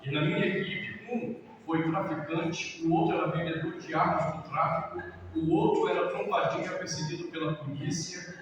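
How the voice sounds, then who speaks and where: Middle-aged man, pastor, lively storyteller; distant mic in a reverberant hall with noise behind. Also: on the high side, normal speed. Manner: lively storyteller, acts out quotes, laughs. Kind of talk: sermon-style talk with personal stories. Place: large church hall.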